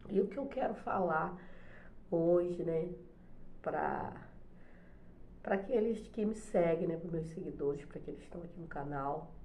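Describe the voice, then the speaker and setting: Middle-aged woman, low mellow voice, hoarse from a cold, hesitant home vlogger; echoey room, faint noise. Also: measured pace, long pauses. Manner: soft, hesitant, many fillers. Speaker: channel host, special education teacher. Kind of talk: casual devotional vlog chat. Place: home, morning coffee.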